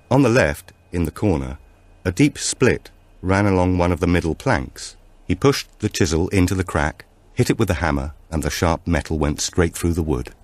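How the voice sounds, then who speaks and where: A man narrating a suspense thriller audiobook in a measured, dramatic manner. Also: low-pitched, darkish tone, dry recording.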